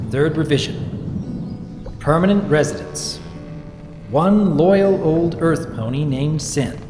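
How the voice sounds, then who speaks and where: A man voicing a kindly, formal young stallion baron in a dramatic story reading. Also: a little high. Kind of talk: dramatic fan fiction reading. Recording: echoey, long reverb, faint background noise.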